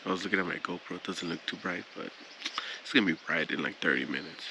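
A man's voice: sits low, fast, vocal fry. Laid-back man vlogging at camp. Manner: relaxed, chatty, talks through each step. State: hushed early-morning talk. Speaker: moto camping vlogger. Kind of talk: casual vlog narration. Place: outdoor forest campground. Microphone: action camera, chest-mounted or handheld. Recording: camera mic with outdoor noise.

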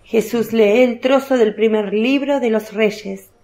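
Woman narrating a radio play, scripted story reading, slightly high voice. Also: measured pace, mellow.

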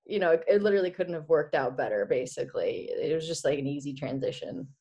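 Young woman, from the czech republic, lowish voice, laughs a lot, webcam mic in a small room. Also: quick pace. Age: 20s. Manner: chatty, laughs often, animated storytelling. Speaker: guest, former college hockey player. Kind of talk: casual video-call interview. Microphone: laptop webcam on video call.

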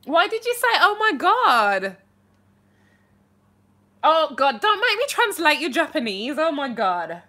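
A young woman chatting casually to viewers on a live stream from her bedroom, bubbly and animated, with her phone held at arm's length. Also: high-pitched, a little slow, long pauses. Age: young adult. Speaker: livestream host answering viewer questions. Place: small bedroom indoors.

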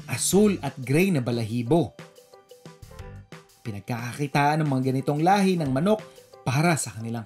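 A woman's voice: rumbling low, darkish tone. A woman with a Filipino accent reading a scripted voice-over narration for a show. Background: light background music.

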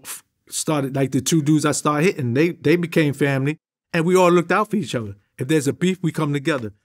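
A middle-aged man with a New York accent, an interview guest telling street stories emphatically, full of slang. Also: fairly high pitch. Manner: streetwise, emphatic, heavy slang, gestures while talking. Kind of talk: animated street storytelling. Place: indoor studio with a drum kit.

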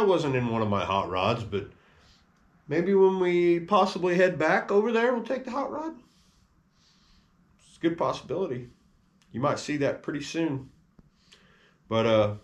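A man hosting a car channel, talking in a laid-back, rambling, folksy way. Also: on the high side. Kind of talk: casual vlog chat.

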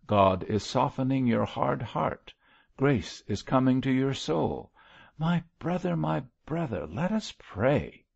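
Guy playing stern old religious zealot in an audiobook, declamatory, slow, big swoops in pitch. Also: lively intonation, creaky, highs cut off, exaggerated voice. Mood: fervent pleading. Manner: solemn, exhorting, declamatory prayer. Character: wary old male zealot missionary, stern, fervently pious. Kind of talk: audiobook character voice.

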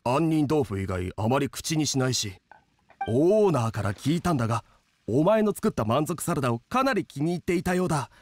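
An actor playing a friendly young male inn cook in an anime game, with rough, casual, hearty speech. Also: a little high, big pitch swings, fairly quick, a little mellow, no echo. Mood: confiding.

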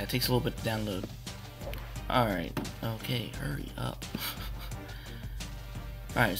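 A young man with a hoarse, cracking voice, rambling casually through a video game walkthrough with many fillers. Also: mid-range voice, sharp tone. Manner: rambling, repeats "you wanna" with fillers. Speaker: gaming tutorial maker. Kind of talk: casual screen-capture walkthrough.

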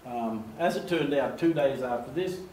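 An older man from Australia, giving an animated storytelling talk at a lectern in a meeting room. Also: medium pitch, moderate pace, slight background noise. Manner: animated, gestures, acts out quoted voices. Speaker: speaker giving a slide talk. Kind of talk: storytelling presentation. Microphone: far camera. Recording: echoey room, faint ambience.

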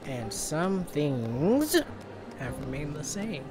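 A man's voice: fairly high pitch, big pitch swings, slow, background noise. A man voicing a polite, earnest pony agent with formal, courteous delivery in an audio drama.

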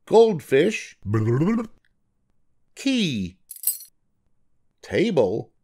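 An older man narrating a children's storybook in a deliberate, expressive read-aloud. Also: a little high.

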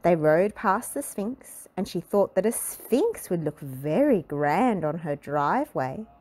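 A woman reading a children's storybook aloud in an expressive, deliberate way. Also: on the low side. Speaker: storybook reader. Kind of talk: scripted children's storybook narration.

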